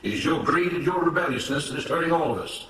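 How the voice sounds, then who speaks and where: A man's voice: fairly high pitch, quick pace, fairly bright tone, voice pushed up, close to an everyday voice. Self-righteous plantation master, acted by a male narrator: oratorical, lecturing, authoritarian, recorded at a distance with faint noise. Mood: accusing. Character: self-righteous, authoritarian plantation boss, man preaching order. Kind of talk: dramatized story reading. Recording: roomy, noisy, distant microphone.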